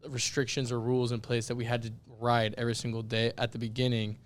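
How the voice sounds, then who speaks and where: A young man with a raspy, worn-out voice co-hosts a laid-back podcast. His tone stays even and flat. Under the rasp, the sound is still smooth and clear. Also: mid-range voice, quick pace. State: voice worn out from yelling. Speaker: podcast co-host. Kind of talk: casual podcast chat.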